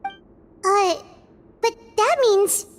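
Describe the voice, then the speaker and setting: A woman voicing a small, excitable, curious fairy companion with a squeaky childlike voice for a video game. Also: extremely slow, slight background noise, full character voice. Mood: puzzled. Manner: exclamatory, eager.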